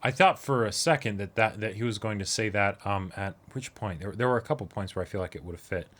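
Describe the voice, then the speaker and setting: Male co-host with a slightly low voice, relaxed episode discussion, agreeable and conversational. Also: quick pace. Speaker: co-host of an anime reaction show. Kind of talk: casual chat, episode discussion.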